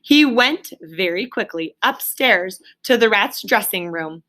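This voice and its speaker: A woman reading a children's story aloud like a teacher, with emphatic, deliberate phrasing and explanatory asides. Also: medium pitch, lively intonation, sharp tone.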